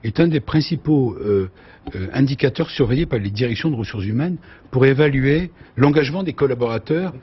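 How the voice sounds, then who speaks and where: Male TV host, husky voice, brisk with figures, dull broadcast audio. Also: medium pitch. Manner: lively interviewer, reads out figures, quick asides. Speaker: TV show host. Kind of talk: business TV panel hosting. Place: TV studio.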